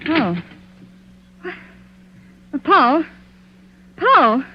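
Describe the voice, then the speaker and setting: An American actress playing an anxious, jumpy wife in a 1940s radio suspense play, with a dramatic delivery. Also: female voice, sits high, sing-song, extremely slow, full character voice. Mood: startled, jumpy. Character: anxious, jumpy wife narrating her own suspense tale. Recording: muffled old radio recording, faint hiss.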